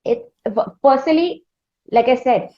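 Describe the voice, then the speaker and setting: A woman hosting a music interview, effusive and rambling, with frequent hesitant pauses. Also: high voice, mellow, highs cut off. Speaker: interviewer, host.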